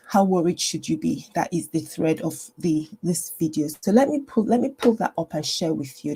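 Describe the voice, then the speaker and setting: A woman doctor hosting a health live stream from home, chatting in a meandering way with lots of fillers and restarts, her voice kept low and soft. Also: breathy edge. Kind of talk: casual live stream talk. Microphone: personal mic.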